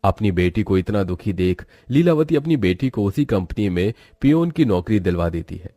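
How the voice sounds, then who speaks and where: A man's voice: on the low side, mellow, no room sound. A man reading scripted narration for a moral story as its narrator.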